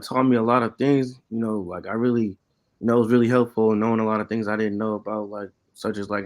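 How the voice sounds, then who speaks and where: A man in his late twenties, an artist, talking casually and haltingly on a panel with lots of "you know". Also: lowish voice. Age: late 20s. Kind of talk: casual unscripted artist talk.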